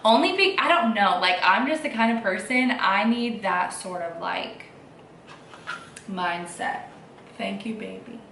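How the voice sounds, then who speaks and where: A woman's voice: mid-range voice, animated, a little slow, fairly bright tone. A young woman vlogging at home in a casual, rambling, informal way, with a slightly congested voice. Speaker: vlogger and mother of a young child. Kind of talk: casual chat to camera. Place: indoors at home. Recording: roomy echo, distant mic, faint noise.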